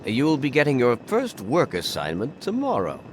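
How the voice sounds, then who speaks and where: A man's voice: medium pitch, huge pitch swings, moderate pace, slight background noise. A man playing a stern, pompous, authoritarian vault overseer in a video game, formal and condescending. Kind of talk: video game character acting.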